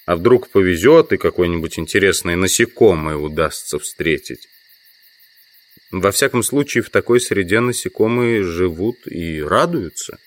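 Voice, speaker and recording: An actor playing an inquisitive, sceptical insect collector from the city in an audio drama. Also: male voice, low voice, animated, normal speed. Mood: musing, wary. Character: male amateur entomologist, inquisitive, sceptical, politely insistent city visitor. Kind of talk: Russian audio drama, dialogue and inner monologue.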